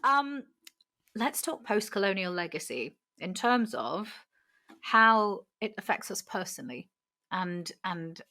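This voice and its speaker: A woman from England hosting a podcast interview, chatty and quick to laugh as she asks questions and shares her own story. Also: mid-range voice, animated, bright, forceful tone. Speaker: podcast host.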